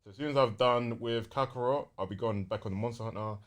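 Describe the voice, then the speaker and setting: A man's voice: mid-range voice, quick pace. A young man with a London accent chatting casually and enthusiastically on a gaming podcast, using plenty of slang.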